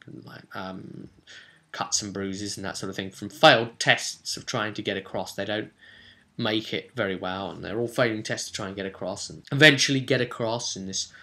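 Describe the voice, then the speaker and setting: A man's voice: lowish voice, moderate pace, sharp tone. A man giving a casual, unscripted game master's recap, telling it in a rambling, enthusiastic way and often correcting himself.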